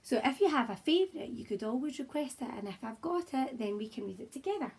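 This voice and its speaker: Female primary teacher, highish and a little husky, expressive storytime read-aloud for young children, encouraging and simply worded. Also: quick pace. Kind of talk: children's picture book read-aloud with chatty asides to young viewers. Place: child's room at home, indoors.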